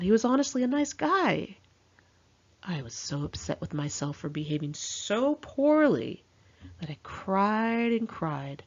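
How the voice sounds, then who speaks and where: A woman reading her own memoir aloud in a candid, wry, conversational and confessional way, with dramatic expression. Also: lowish voice. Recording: muffled lo-fi home recording.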